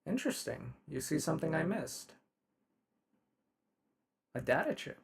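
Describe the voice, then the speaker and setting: Man acting formal robotic detective's partner, gravelly edge. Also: on the high side, sing-song, full character voice. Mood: static, analytical. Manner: formal, measured, clinical. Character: polite, methodical robotic AI detective's partner, emotionally restrained. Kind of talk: ASMR roleplay character acting.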